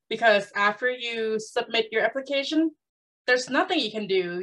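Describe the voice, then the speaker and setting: A woman from Thailand chats as a podcast co-host. She teases her friend playfully and answers with easy sympathy. Her voice sits at a middle pitch. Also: a bit bright, rough recording. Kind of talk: casual chat.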